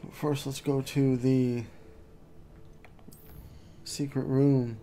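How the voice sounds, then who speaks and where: A man's voice: mid-range voice, drawn-out words, slight background noise. A man giving casual, chatty commentary while playing a video game. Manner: relaxed, chatty, reacting to the game. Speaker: gameplay streamer.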